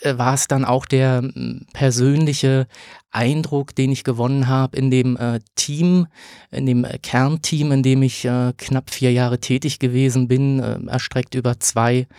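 Man, thirties, lawyer guest, hesitant, filler-heavy, dry sound, mid pitch. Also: little pitch movement, darkish tone, dry recording. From Germany. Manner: many filler sounds and pauses. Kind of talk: hesitant interview answers.

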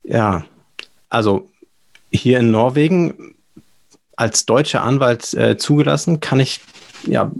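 A man's voice: mid-range voice, slow pace, creaky, pauses often. A young adult man with a German accent, talking casually and explaining things as a podcast guest. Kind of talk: casual explanatory interview talk.

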